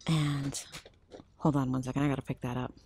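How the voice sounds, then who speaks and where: Older woman, shopping vlogger, low mellow voice, chatty and half-whispered, faint hiss behind. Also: little pitch movement, fairly quick. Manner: chatty, often drops to a whisper. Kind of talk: casual shop-with-me narration. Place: large discount store aisle. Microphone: handheld phone camera.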